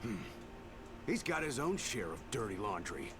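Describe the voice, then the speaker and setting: A man's voice: on the low side, very animated, moderate pace, loud music behind the voice. A man playing a shifty, self-righteous merchant in a video game, theatrical and blustering, both pompous and flustered. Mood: flustered, resentful.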